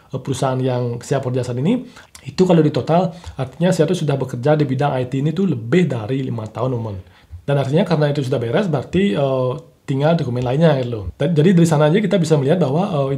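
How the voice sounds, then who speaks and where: Young guy chatting fast to his viewers, dark mellow tone, lots of ums. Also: medium pitch. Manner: rambling, many fillers and "teman" tags. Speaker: programming channel host. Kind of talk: casual talk to camera.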